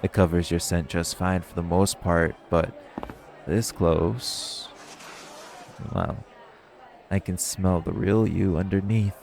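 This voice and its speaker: Vampire rival male actor: deep, unhurried, smugly flirtatious with a threat underneath, for intimate audio drama. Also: fairly even intonation, creaky, darkish tone, slight background noise, heavily acted. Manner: smug, sardonic, teasing, swearing. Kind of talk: intimate audio roleplay acting.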